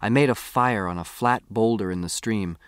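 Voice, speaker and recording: A man's voice: fairly low pitch, normal speed, clear, resonant tone, no echo, studio quality. A man with an American accent reads a first-person story as an audiobook narrator.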